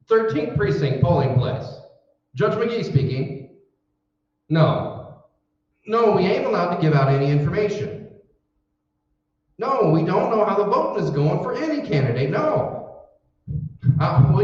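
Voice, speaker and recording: A man's voice: sits high, sing-song, a little slow, long pauses. A man playing a blustery, folksy, self-important husband in a 1940s radio comedy. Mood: indignant, folksy. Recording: muffled, echoey room, far from mic.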